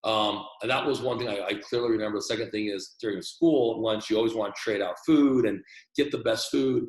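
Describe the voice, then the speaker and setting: A man's voice: lowish voice, steady tone, brisk, roomy sound, poor audio. A man born in Taiwan, a podcast guest, telling his story earnestly in a casual interview and often repeating words as he starts sentences.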